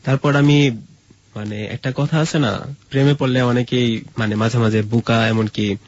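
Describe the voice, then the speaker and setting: A young man recounts a personal story in casual, colloquial speech with a Bangladeshi accent. His medium-pitched voice is husky and he acts out remembered dialogue. The recording is dull and lo-fi. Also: fairly even intonation, moderate pace, dry recording. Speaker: guest telling his own story.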